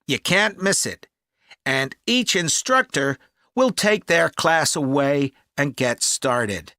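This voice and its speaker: Bright, lively, slow-paced camp staffer. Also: male voice, a little high, no room sound, clean studio sound, exaggerated voice. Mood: helpful, businesslike. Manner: clear, deliberate explaining. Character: helpful, organised children's camp staff man. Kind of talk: acted informational phone-enquiry dialogue for a listening test.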